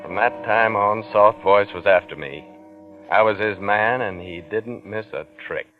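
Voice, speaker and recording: A man's voice: on the low side, unhurried, lightly acted. An actor playing a haunted, paranoid man who is tense and defensive, in old-time radio suspense style. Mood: haunted, brooding. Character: haunted, paranoid man under suspicion, narrating his unraveling. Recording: muffled old radio recording with music.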